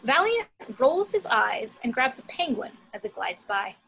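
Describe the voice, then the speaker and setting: Bright, husky, high-voiced woman narrator. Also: sing-song, a little slow, low-quality recording. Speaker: narrator reading action descriptions. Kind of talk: scripted narration at an amateur screenplay table read.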